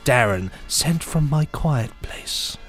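A man's voice: medium pitch, animated, takes it slowly, fairly bright tone, husky, soft background music. A man co-hosting a podcast, chatting casually and riffing on silly jokes in a playful way. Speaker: podcast co-host. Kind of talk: jokey casual chat.

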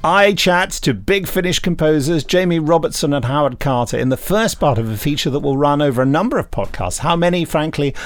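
A man's voice: on the high side, expressive, a little fast, few pauses. A British man hosting a podcast in casual comic banter, with a playful, theatrical, mock-pompous manner. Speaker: podcast host.

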